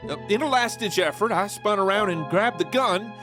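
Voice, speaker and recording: A man's voice: high voice, a little fast, music in the background, close to an everyday voice. An actor playing a cocky, fun-loving male outlaw in a western audio drama, showboating and theatrical. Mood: panicked. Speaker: outlaw narrator and lead character.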